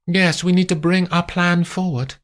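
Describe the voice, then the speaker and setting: A man reading aloud voices an imperious wealthy woman who gives crisp orders. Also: lowish voice, fairly even intonation, moderate pace, voice pushed up, lightly acted. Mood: commanding. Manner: clipped, haughty, gives orders.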